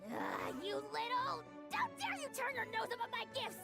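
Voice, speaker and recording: A tiny, very high-pitched game mascot swoops through lively, childlike exclamations. It is bossy and easily indignant, with music playing clearly behind it. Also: female voice, moderate pace, heavily acted. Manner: exclamatory, huffy, childlike delivery. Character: small excitable mascot creature, bossy and indignant. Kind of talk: video game voice acting.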